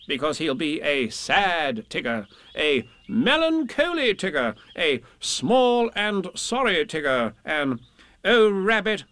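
A man's voice: high voice, fairly bright tone, no echo, voice pushed up, full character voice. A man voicing a bossy, self-assured, scheming rabbit from a children's storybook, speaking in an emphatic, officious way. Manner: emphatic, officious, wordy explaining. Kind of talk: children's storybook character reading.

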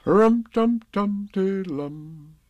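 A man voicing a boy who sings like a dozy, gentle bear in a humming singsong. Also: sits high, expressive, extremely slow, no echo, dull audio, pitched above the performer's own voice, exaggerated voice. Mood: dreamy singing.

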